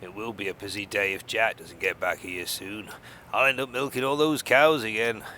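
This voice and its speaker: A man's voice: lowish voice, fairly even intonation, moderate pace, sharp tone, slight background noise. A man playing a folksy, grumbling married farmer in a parody of a radio soap. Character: grumbling old-fashioned married male farmer.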